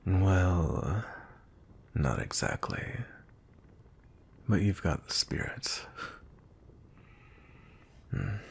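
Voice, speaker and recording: Male actor as a brooding vampire knight captain, extremely low voice, very husky and breathy, cold and grumpy, intimate ASMR roleplay. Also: exaggerated voice. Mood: softening, tender. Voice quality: breathy, husky, often near whisper.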